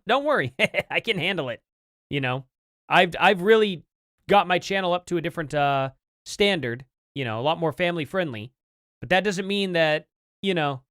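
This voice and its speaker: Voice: medium pitch, fairly bright tone, no room sound, clean studio sound. An American livestream host chatting casually and informally to viewers, rambling along and reacting to things. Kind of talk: casual livestream chat, reacting to clips and news.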